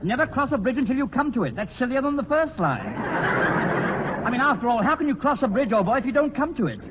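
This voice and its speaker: Fast, high-voiced pompous gentleman. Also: very animated. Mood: incredulous. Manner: pompous, repetitive, old-boy politeness. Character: pompous, fussy gentleman panelist, earnestly absurd. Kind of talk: 1940s radio comedy acting. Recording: tinny, noisy old radio recording.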